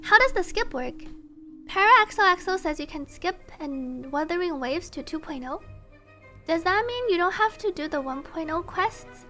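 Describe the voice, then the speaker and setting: A woman with a very high, bright voice streams a game. She chats casually and reads dialogue aloud with playful expression. Light music sits under her voice. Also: lively intonation, normal speed. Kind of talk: casual stream chat, reading subtitles aloud.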